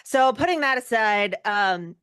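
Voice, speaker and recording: A woman's voice: mid-range voice, expressive, measured pace, a bit bright, clear, resonant tone. A woman chatting casually as an interview guest, effusive and informal in the way she talks. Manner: effusive, informal, emphatic about loving the work. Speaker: guest, actor and former rock singer.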